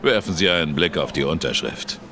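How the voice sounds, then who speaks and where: A man playing a smug, menacing gentleman in a radio drama, cool and condescending. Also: very deep voice, fairly quick, noisy background. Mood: smug, threatening. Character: smug, menacing gentleman bluffing past a policeman.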